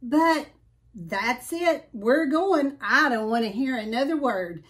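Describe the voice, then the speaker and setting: A woman voicing an excitable, complaining talking pet from a storybook, insistent and exclamatory. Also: high voice, slow, full character voice.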